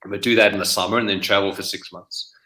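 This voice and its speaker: Bright-toned man who rambles. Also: lowish voice, steady tone, fast talker, rough recording. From New Zealand. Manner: rambling, with frequent restarts and fillers. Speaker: guest author. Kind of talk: casual interview conversation.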